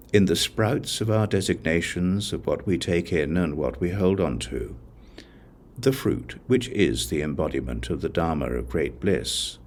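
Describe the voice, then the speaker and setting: A man reading a Buddhist scripture as an audiobook, in measured, deliberate phrases with pauses. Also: low-pitched, a little mellow, a little hiss or hum. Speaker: audiobook reader.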